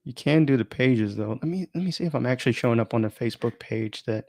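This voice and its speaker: A man's voice: medium pitch, lively intonation, quick pace, gravelly, a little mellow, no room sound. A man chatting informally and thinking aloud as he runs an unplanned live stream, often interrupting himself in a rambling way.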